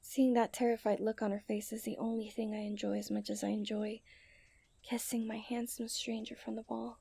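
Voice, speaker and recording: Woman acting a high, mellow, confident young princess. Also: little pitch movement, moderate pace, gravelly, slightly rough. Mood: teasing, loving. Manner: soft, intimate delivery that often drops into whispers. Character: young princess, romantic, confident, strong-willed and scheming. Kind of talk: romantic ASMR roleplay acting.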